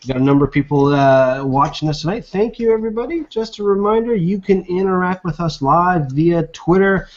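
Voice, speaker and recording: An upbeat man hosts a live online hangout, chatting loosely with plenty of filler words. His voice sits a little high. The webcam audio sounds dull and lo-fi. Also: brisk, few pauses. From Nova Scotia, Canada. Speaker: host. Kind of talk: casual live web show hosting. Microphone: webcam video call. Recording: dull lo-fi video call audio.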